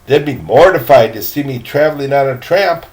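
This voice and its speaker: A man's voice: medium pitch, animated, normal speed, darkish tone, lightly acted. An older man voicing a frank, polite, well-off boy in a storybook, with matter-of-fact manners. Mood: apologetic.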